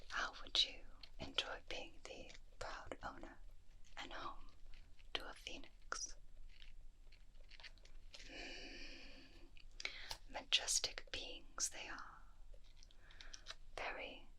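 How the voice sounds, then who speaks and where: A woman whispering a fantasy ASMR roleplay as a kindly magical creature keeper, gently and unhurriedly addressing the listener up close. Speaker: ASMR host playing a roleplay character. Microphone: close binaural microphone.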